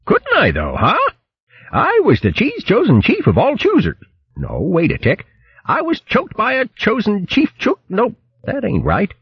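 A man voicing a hearty, boastful male hare with a posh blustering manner and a very high voice. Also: huge pitch swings, a little slow, slightly rough, dry recording, lo-fi sound, heavily acted. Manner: posh bluster, "chaps", "what?", "jolly", "bally".

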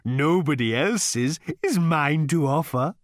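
High, drawn-out, fawning cow; genteel and servile, audiobook character. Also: male voice, expressive, no room sound, studio quality. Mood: ingratiating. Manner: slow, genteel, ingratiating waiter-like delivery. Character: polite, eager talking cow offering itself as dinner.

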